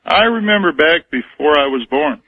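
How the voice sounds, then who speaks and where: American dude calling into talk radio, deadpan and brief, bit higher voice, muffled phone. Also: male voice, moderate pace, no echo. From United States. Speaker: radio show caller. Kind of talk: casual call-in chat. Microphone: telephone. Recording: dull telephone line on radio.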